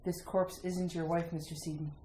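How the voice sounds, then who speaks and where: Woman as a steady sheriff, echoey room. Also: on the low side, natural voice. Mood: grave, steady. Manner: folksy, measured, matter-of-fact. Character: steady, skeptical small-town sheriff. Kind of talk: retro radio mystery acting. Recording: roomy sound with faint noise.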